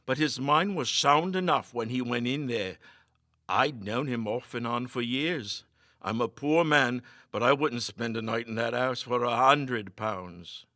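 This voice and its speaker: A man's voice: medium pitch, unhurried, sharp tone, no echo, studio quality. A man voicing a poor, indulgent country innkeeper with rustic, foreboding speech in a storybook reading. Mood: grim warning.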